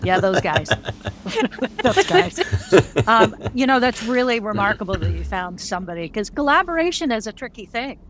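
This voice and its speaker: A woman's voice: on the high side, big pitch swings, moderate pace, fairly bright tone. A woman hosting a casual interview, chatty and thinking aloud as she goes. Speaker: podcast host interviewing guests.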